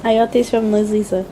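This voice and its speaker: A woman's voice: a little high, noisy background. Giggly young woman chatting to her phone. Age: young adult. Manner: chatty and giggly, many ums and likes. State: recovering from a cold. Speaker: vlogger. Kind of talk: casual vlog chat. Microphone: phone camera.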